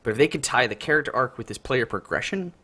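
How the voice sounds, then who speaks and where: A man's voice: medium pitch, lively intonation, fast, pushed and bright, husky. A North American man in casual analytical podcast discussion, explaining his ideas in a thoughtful way. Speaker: podcast co-host.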